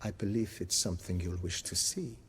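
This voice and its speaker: A man's voice: deep voice, fairly even intonation, a little fast, a little hiss or hum, natural voice. An actor playing a courteous, deferential male estate majordomo in a video game, with formal, ornate, servile phrasing. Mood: polite, deferential. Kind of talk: video game cutscene acting.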